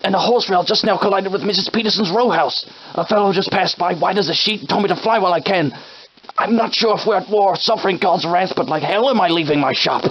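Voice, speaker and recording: An actor playing a genteel, verbose shopkeeper with a villain's streak, in formal, archaic, theatrical game dialogue. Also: male voice, high-pitched, fast talker, lo-fi sound, a little hiss or hum, lightly acted. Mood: alarmed. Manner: formal, archaic, florid wording. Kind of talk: theatrical period game acting.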